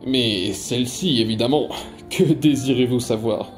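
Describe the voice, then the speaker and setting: A man acting a polite yet menacing magistrate in an audio drama, speaking deliberately with hesitant pauses. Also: mid-range voice, soft background music, lightly acted. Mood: smug. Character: polite, menacing male magistrate, pious servant of time.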